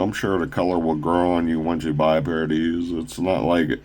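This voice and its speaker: A man casually showing off his own shoe designs on a phone camera, rambling with fillers and restarts, his voice a little raspy from talking a long time. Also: low-pitched, darkish tone. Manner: halting, loose, full of fillers and restarts. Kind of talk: casual rambling product showcase.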